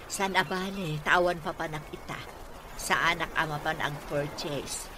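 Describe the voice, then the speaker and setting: A woman's voice: sits low, fairly even intonation, measured pace. A woman with a northern Philippine accent plays an indulgent, encouraging aunt in a radio comedy drama. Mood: kindly coaxing. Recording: clear background noise.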